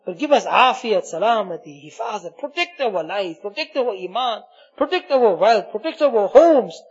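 A man leading an impassioned Islamic supplication, pleading and repeating his invocations, swinging between hushed murmurs and loud cries. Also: high voice, animated, moderate pace, breathy edge. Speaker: religious leader leading a supplication. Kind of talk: impassioned spoken Islamic prayer. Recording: muffled, dull lo-fi audio.

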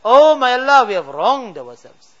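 A man's voice: extremely high pitch, big pitch swings, normal speed, fairly bright tone. A man leading a fervent late-night supplication as an imam, pleading with constant repeated refrains. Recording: dull lo-fi recording.

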